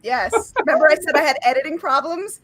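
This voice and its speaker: A woman's voice: high-pitched, brisk, fairly bright tone. A woman author hosting a chat show, talking candidly and animatedly over a video call. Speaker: podcast host, author. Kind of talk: casual chat.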